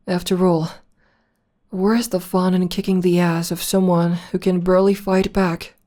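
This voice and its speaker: A woman playing a villainess in an ASMR roleplay, soothing and teasing as she tends to her nemesis with possessive tenderness and drawn-out endearments. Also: on the low side, mellow. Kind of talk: ASMR roleplay, soft intimate acting.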